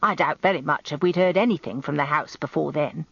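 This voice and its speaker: A woman's voice: low voice, fairly quick, vocal fry, husky, dry recording, lo-fi sound, exaggerated voice. A British woman voicing a short, weaselly male handyman, apologetic and deferential as a witness in a radio story.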